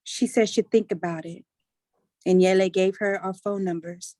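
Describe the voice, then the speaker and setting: An earnest adult daughter in a stage drama, read by an actress. She speaks persuasively to her family at a middle pitch. The sound is dry, with little room. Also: fairly even intonation, moderate pace, dark tone, no echo, close to an everyday voice. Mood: earnest, explaining. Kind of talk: staged play reading.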